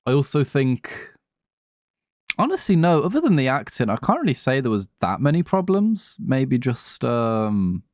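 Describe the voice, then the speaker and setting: A man's voice: mid-range voice, very animated, normal speed, darkish tone, no echo, studio quality. A man co-hosting a podcast in casual, slangy, sweary conversation about films. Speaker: podcast co-host. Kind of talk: casual chat, film discussion.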